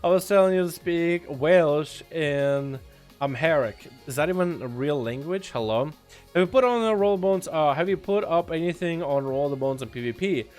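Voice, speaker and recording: A young man with a slight Russian accent chats loosely on a game stream, joking and calling his viewers "boys". His voice sits a little above the usual pitch for a man. Faint music plays behind him. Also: animated, moderate pace, a little mellow. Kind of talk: casual livestream chat.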